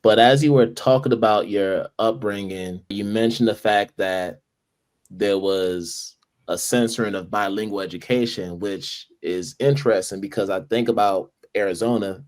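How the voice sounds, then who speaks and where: A man's voice: on the low side, moderate pace, a little mellow. A man hosting a podcast interview, upbeat and welcoming as he introduces and questions a guest. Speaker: podcast host. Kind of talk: conversational interview.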